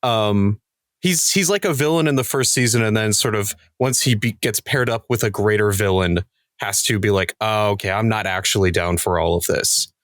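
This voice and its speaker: A man's voice: lowish voice, normal speed, fairly bright tone, no echo, clean studio sound. A man talking casually as a cast member in a podcast panel, telling stories with acted-out asides. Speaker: podcast cast member discussing their show.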